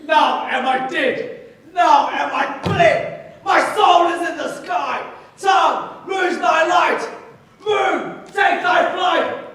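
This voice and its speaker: A man's voice: very high-pitched, very slow, fairly bright tone, raised voice, distant mic, some chatter behind, heavily acted. A man playing a hammy amateur actor as a dying lover, bellowing melodramatically in theatrical Shakespearean declamation. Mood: melodramatic dying. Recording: echoey hall from afar, audience noise.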